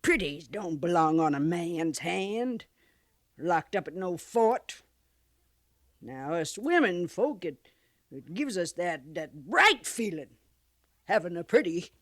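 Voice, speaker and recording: A woman's voice: lowish voice, animated, takes it slowly, no room sound, studio quality. An actress playing a crusty, shrewd old American frontier woman with a folksy rustic drawl in a 1950s radio western. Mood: wistful, gruff. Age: older. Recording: vintage 1950s radio recording.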